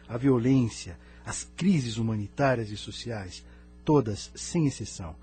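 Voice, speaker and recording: A man with a Brazilian accent playing a grave, wise spirit elder from another planet in an audio drama, solemn and measured. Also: medium pitch, mellow, husky. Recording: music bed behind the voice.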